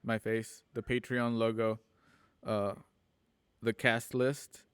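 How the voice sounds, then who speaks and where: A man chatting casually on a group call as a host, explaining things haltingly and repeating words as he goes. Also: medium pitch, flat delivery, clear, resonant tone, no room sound. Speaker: host and producer of a streamed radio drama show. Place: at home on a group video call.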